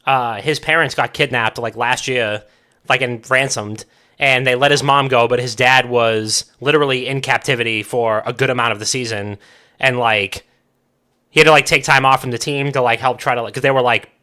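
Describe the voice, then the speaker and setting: A man's voice: mid-range voice, steady tone, moderate pace, sharp tone. A man co-hosting a gaming podcast, talking casually in slangy, profane, bro-like language. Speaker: podcast co-host.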